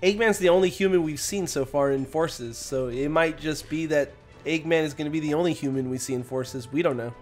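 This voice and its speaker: A man's voice: on the high side, fairly quick. A man co-hosting a gaming news show, chatting casually and giving his take on what is shown. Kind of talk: casual chat commentary. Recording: music behind the voice. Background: background music.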